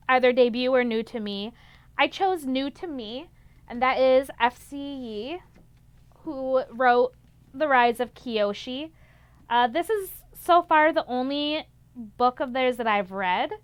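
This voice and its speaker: A woman's voice: a little high, fairly bright tone, clear, resonant tone, dry recording. A young woman chatting casually about books as a video host, candid and opinionated in her reviews. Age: young adult. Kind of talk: casual book chat to camera.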